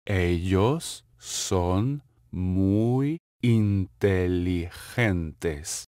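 Slow, deliberate low-voiced man. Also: expressive, a little mellow, no room sound. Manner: repeats each phrase slowly and clearly. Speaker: language lesson reader. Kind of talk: slow, carefully enunciated drill reading. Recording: dry, close studio voice-over.

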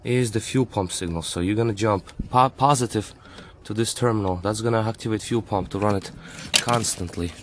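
Low-voiced man fixing a car. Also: moderate pace, hardly any pauses, a little hiss or hum. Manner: talks to himself while working, short muttered remarks. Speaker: home mechanic. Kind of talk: hands-on repair walkthrough. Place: outdoors at a car's engine bay.

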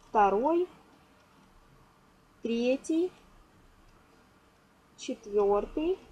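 A woman's voice: fairly high pitch, darkish tone, low-quality recording. A woman giving an informal step-by-step craft lesson, instructing patiently with affectionate diminutive words. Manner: instructional, counts aloud, uses affectionate diminutives. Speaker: craft tutorial host. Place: indoors at a table. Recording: clear background noise, amateur home recording.

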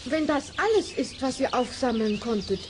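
A woman playing a good-hearted little witch in a children's fairy-tale radio play, speaking deliberately. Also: sits high, expressive, noisy background. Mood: curious, sympathetic. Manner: deliberate, chanting spells.

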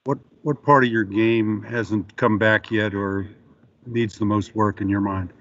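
A man's voice: lowish voice, steady tone, a little slow, a bit bright, lo-fi sound. A male sports reporter asking questions at a press conference, hesitant and repeating words.

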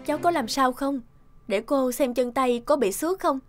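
A woman playing a sharp-tongued but caring young mother who minds a repair shop, in an expressive animated cartoon dub. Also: high-pitched, moderate pace, lightly acted. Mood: worried, grateful. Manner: expressive, scolding or fussing. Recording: music behind the voice.

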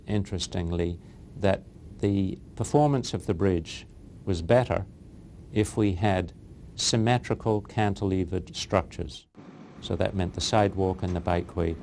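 A man's voice: deep voice, darkish tone, slight background noise. A man in an interview giving a precise technical explanation of bridge engineering.

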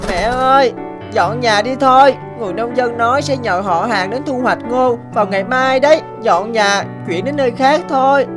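A young cartoon bird, voiced by a woman, frets and questions earnestly. The voice is high and childlike. Music plays clearly behind it. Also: moderate pace, close to an everyday voice. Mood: anxious urging. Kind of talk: animated folk tale dubbing.

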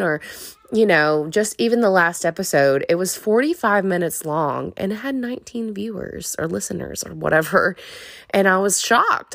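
Young woman, podcast host, lowish voice, congested from a cold, rambling and confessional, dry close recording. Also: vocal fry, fairly bright tone, dry recording. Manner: rambling, open and confessional, many fillers. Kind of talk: casual solo podcast monologue.

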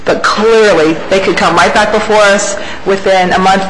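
Brisk woman chairing a meeting. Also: medium pitch, fairly even intonation, a bit bright. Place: council chamber, at the dais. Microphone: desk gooseneck microphone. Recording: noisy tape with hiss.

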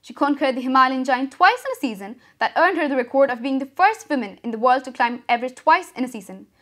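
A young woman reading a school news bulletin as the anchor, with a brisk, even headline delivery. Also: high-pitched. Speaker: student news reader.

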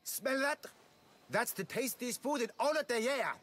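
A man's voice: extremely high pitch, measured pace, fairly bright tone, full character voice. A man playing a boastful, enthusiastic food stall merchant giving sales patter in a video game. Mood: eager, boastful. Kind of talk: video game cutscene acting.

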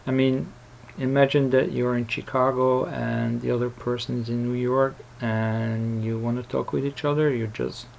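A man presenting a casual, unscripted software tutorial in a hesitant, rambling manner. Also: mid-range voice, flat delivery, normal speed, gravelly, few pauses, a little hiss or hum. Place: voice-over recorded at a computer.

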